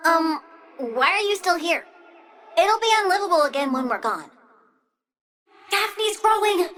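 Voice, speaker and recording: A woman's voice: very high voice, a bit bright, full character voice. An actress playing a childlike plant-girl villain in a video game, girlish and playful, petulant, gleefully menacing and doting on her plants. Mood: gleeful, smug, then excited, petulant. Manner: playful, petulant, swings from cooing to threats. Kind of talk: video game character voice lines.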